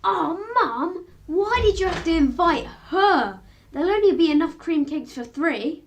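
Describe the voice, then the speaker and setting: A woman's voice: very high-pitched, animated, a little slow, a little hiss or hum, heavily acted. A woman playing an eager, cheeky young boy with a childlike, matter-of-fact manner in a radio drama. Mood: complaining.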